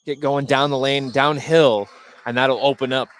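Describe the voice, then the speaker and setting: Male color analyst for live basketball, average pitch, conversational and a bit halting, thinking out loud. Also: steady tone, slight background noise. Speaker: color commentator.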